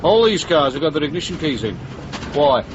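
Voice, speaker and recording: An actor playing a hard, impatient police sergeant with an English accent, curt and menacing, in a radio drama. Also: male voice, fairly high pitch, very animated, a little fast, dull audio, background noise. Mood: blunt, menacing.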